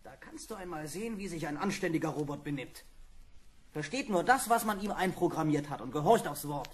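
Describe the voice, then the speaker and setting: An actor playing an irritable space pilot in a vintage science fiction radio play, blunt and quick to grumble. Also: male voice, fairly high pitch, lively intonation, lightly acted. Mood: grumbling. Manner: exasperated, blunt. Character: irritable, grumbling male space pilot bickering with his robot. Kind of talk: vintage German sci-fi radio play acting. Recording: noisy old radio play with ambience.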